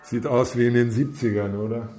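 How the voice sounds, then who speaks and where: A man playing a gruff, irritable lead investigator in a German radio crime drama, sarcastic and used to giving orders. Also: medium pitch, steady tone, brisk, loud music behind the voice, natural voice. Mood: brisk, businesslike. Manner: sarcastic, authoritative.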